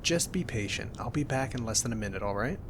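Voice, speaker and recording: A young man in a soft-spoken ASMR roleplay, teasing and gentle as a cuddly roommate. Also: mid-range voice, animated, fast, noisy background.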